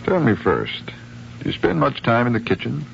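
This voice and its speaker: An actor playing a shrewd, insistent sheriff who questions deliberately and politely, in a 1950s radio drama. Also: male voice, mid-range voice, big pitch swings, creaky. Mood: probing. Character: probing, shrewd male sheriff hunting runaway slaves. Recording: muffled, noisy 1950s radio recording.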